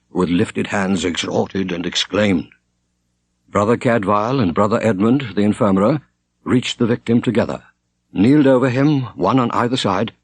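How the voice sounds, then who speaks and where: A man narrating an audiobook in a measured, expressive storytelling style with formal diction. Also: on the low side, breathy edge. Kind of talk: scripted literary narration, storytelling.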